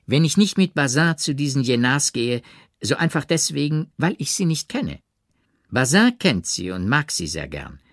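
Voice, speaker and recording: A man's voice: mid-range voice, sing-song, moderate pace, fairly bright tone, no echo, clean studio sound, voice pushed up. A man voicing a cool, ironic, haughty aristocratic princess with affected, mannered emphasis in an audiobook. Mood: haughty, dismissive. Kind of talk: audiobook character reading.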